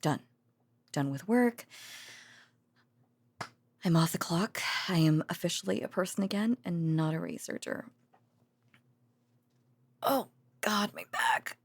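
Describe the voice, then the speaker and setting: A woman playing a tired, affectionate researcher girlfriend in an intimate audio roleplay, talking haltingly with sighs and murmured endearments to a sleepy partner. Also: lowish voice, expressive, husky, no room sound, exaggerated voice. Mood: tired, resigned. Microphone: close, dry studio microphone.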